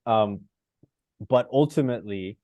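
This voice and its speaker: Man, from Costa Rica, low voice, rambling, many fillers, video call. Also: measured pace, dry recording, lo-fi sound. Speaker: participant. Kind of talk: reflective discussion on a video call.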